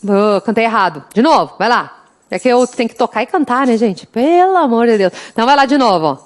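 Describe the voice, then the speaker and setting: A woman's voice: a little high, expressive, fairly quick. A woman from the interior of Rio Grande do Sul with a southern Brazilian accent, teaching an online law exam prep class in a chatty, teasing way. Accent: interior Rio Grande do Sul Brazilian Portuguese. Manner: chatty, teasing, addresses students warmly as "gente". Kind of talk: lively online class, singing mnemonic samba.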